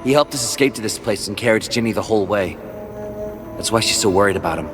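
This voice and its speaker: A man playing an earnest, protective young big brother in a video game, sounding soft-hearted. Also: lowish voice, fast, over music, close to an everyday voice. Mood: earnest, worried. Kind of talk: video game cutscene acting.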